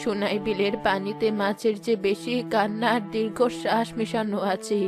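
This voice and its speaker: A woman playing an old village grandmother in a cartoon, solemn and mysterious as she tells of dark secrets. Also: mid-range voice, flat delivery, moderate pace, over music, close to an everyday voice. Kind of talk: dramatic cartoon storytelling.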